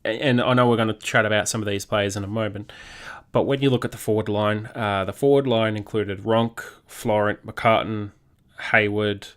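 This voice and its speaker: A man's voice: lowish voice, fairly even intonation, normal speed. A man hosting a sports podcast, giving opinionated, emphatic analysis. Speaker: podcast host.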